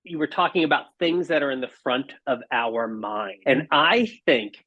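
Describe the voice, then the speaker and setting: A man speaking as a podcast guest, explaining his points articulately in conversational answers with emphatic pauses. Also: medium pitch, pushed and bright. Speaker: podcast guest, audio industry marketing executive. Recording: dull, band-limited audio.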